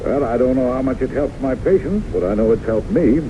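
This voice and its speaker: Casting: male actor for gentleman psychiatrist, earnest and romantic, mid-range voice, animated radio drama style, noisy recording. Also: mid-range voice, brisk, muffled recording, close to an everyday voice. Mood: earnest, wistful. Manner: earnest, theatrical, flowery phrasing. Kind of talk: old-time radio drama acting.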